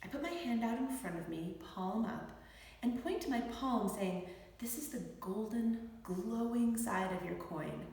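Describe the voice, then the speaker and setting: Woman, middle-aged, expressive read-aloud, deliberate phrasing, gravelly fry, heavy room reverb. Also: a little high. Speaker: physician and author reading own book aloud. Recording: very echoey room with long reverb.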